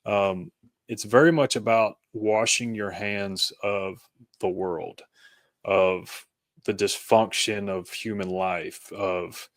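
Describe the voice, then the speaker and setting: A man speaking as an interview guest, reflective and conversational, thinking aloud in halting, thoughtful phrases. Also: on the low side, steady tone, dry recording.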